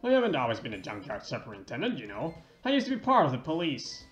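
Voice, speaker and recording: A man voicing an older junkyard superintendent, a former medical examiner, telling a tale gravely. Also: medium pitch, wildly swooping pitch, fast talker, faint music behind, pitched below the performer's own voice. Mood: grave, mysterious. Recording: dull lo-fi roomy mic.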